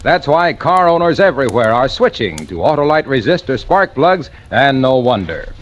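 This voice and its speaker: A man's voice: mid-range voice, very animated, normal speed. An American man delivering a peppy, folksy scripted advertisement as a 1940s radio announcer. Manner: folksy salesmanship. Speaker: commercial announcer. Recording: dull, muffled vintage radio recording.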